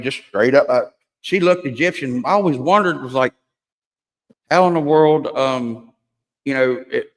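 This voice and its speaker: A man hosting a livestream, telling rambling stories full of crude jokes and curses. His voice is mid-pitched, and it rings out with a long reverb, as if he were in a large hall. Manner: crude, joking, rambling storyteller. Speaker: livestream host. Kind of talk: casual chat.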